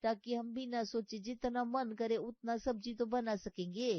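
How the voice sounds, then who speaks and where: A woman's voice: a little high, fairly even intonation, a little slow, dry recording, muffled recording, natural voice. A woman voicing a cheeky, scheming housemaid in a comic story, with slangy, ungrammatical, wheedling talk. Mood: scheming, coaxing. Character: cheeky, scheming housemaid who talks back. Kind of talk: comic cartoon story voice acting.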